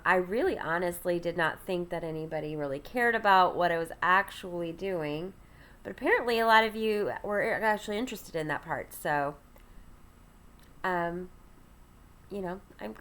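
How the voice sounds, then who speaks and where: A woman's voice: lowish voice, moderate pace, a bit bright. A woman with a congested, stuffy nasal voice, chatting casually while she does her makeup and rambles with lots of ums. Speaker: ministry host. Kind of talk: casual get-ready-with-me chat.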